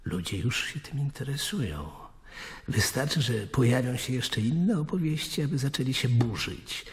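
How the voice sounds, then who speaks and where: An actor playing a grave, wary high priest in a radio play. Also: male voice, expressive, normal speed, close to an everyday voice. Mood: worried, calculating. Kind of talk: dramatic radio play acting. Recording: long hall reverb.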